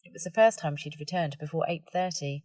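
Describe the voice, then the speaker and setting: A woman's voice: deep voice, brisk, a little mellow, dry recording. A woman reading a story aloud as scripted audiobook narration.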